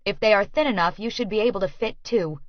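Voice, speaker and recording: A woman's voice: mid-range voice, fast talker, dry recording, muffled recording, natural voice. A woman voicing a bold, clever, cheeky female schemer in audiobook dialogue, speaking matter-of-factly.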